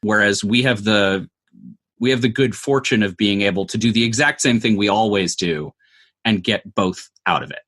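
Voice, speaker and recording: A man with a lowish voice answers interview questions in a conversational way. He explains his points thoughtfully, adding asides as he works through them. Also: moderate pace, fairly bright tone. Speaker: interview guest, audio drama maker.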